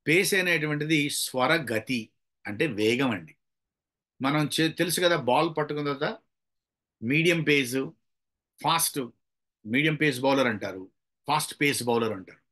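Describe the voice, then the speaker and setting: A man's voice: medium pitch, expressive, moderate pace, pauses often, sharp tone. A man from India, giving an instructional talk on delivery as a trainer, explaining step by step in an emphatic, demonstrative way. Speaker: public speaking trainer. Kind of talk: instructional talk with acted-out examples.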